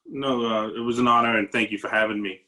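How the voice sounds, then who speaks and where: Forceful-voiced man speaking earnestly. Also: medium pitch, fairly even intonation, poor audio. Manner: earnest, reflective, with some halting restarts. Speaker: paramedic lieutenant, panel guest. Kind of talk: earnest panel discussion. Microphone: video call.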